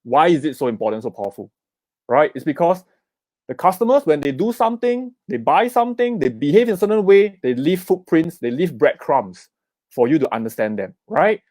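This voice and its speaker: A man's voice: on the high side, lively intonation, fairly quick, darkish tone, dry recording. A middle-aged man with a Singaporean accent, giving an investment talk at an online summit. He keeps asking "okay?" and "right?" and urges viewers to type in the comments. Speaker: investment speaker. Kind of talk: live online seminar talk.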